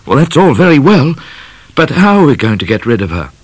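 A man's voice: mid-range voice, very animated, brisk, breathy edge, no echo, voice pushed up. A man voicing a stern, sulky young man. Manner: stern, indignant.

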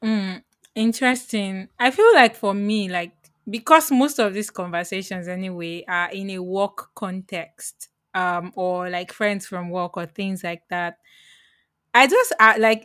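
A woman's voice: medium pitch, fairly bright tone, no room sound. Thoughtful woman host, dry sound. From Nigeria. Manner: reflective, asks long thoughtful questions. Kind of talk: casual chat.

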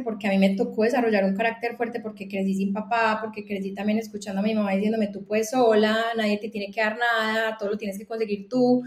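Colombian woman, earnest and quick, echoey room. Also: a little high. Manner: fast, earnest, many restarts. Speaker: guest, company founder. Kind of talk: casual conversation.

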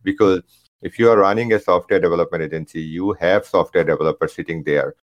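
A man's voice: low voice, fast, dry recording, muffled recording. A man hosting an interview, friendly and hesitant, with frequent fillers. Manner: friendly, fillers, addresses guest as Bhai. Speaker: host of a blog interview series.

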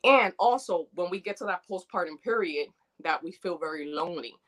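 A woman from New York, born in the Dominican Republic, talking as a doula guest in an earnest, chatty, animated way. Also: lowish voice, a little fast. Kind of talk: casual interview conversation.

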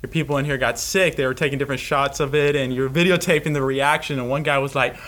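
A man joins the chat as a co-guest. He is eager and prompts his brother along, slipping in quick "mm-hmm"s and "that's right"s. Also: mid-range voice, fairly even intonation, fairly bright tone. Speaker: guest, company co-founder. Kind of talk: casual interview chat.

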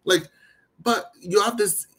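A man in his thirties from Nigeria, with a partly Americanized Nigerian accent, talking emphatically in casual conversation. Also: high voice. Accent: Nigerian-inflected, partly Americanized. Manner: emphatic and animated, quick restarts.

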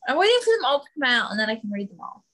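Podcast lady chatting, bright voice, really bouncy intonation. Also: fairly high pitch, very animated, very fast. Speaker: podcast panelist. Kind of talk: casual chat.

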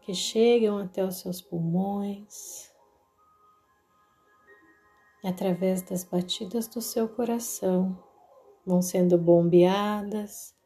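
A woman with a Brazilian accent narrating a guided meditation in a soothing, deliberate way, leaving long pauses. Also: mid-range voice, gravelly, warm tone. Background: background music.

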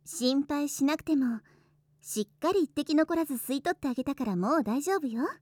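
Woman acting a coy, high-voiced big-sister hostess. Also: expressive, moderate pace, no room sound, studio quality. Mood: teasing, doting. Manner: coy, gently teasing, soothing. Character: teasing, doting older-sister hostess, adult woman. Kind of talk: Japanese situation voice drama acting.

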